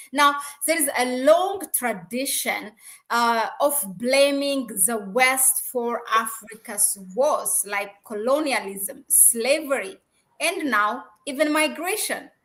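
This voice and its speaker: A woman hosting a discussion interview, putting questions in a halting, roundabout way. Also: fairly high pitch, fairly bright tone. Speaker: interview host.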